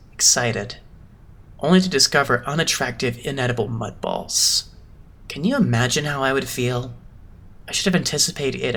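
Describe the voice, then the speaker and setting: A man's voice: mid-range voice, sharp tone. Casting: male actor as haughty young agency boss, anime fandub; cool, formal, talks down to people. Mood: weary disdain. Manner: lecturing, condescending, formal. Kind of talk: anime game fandub acting.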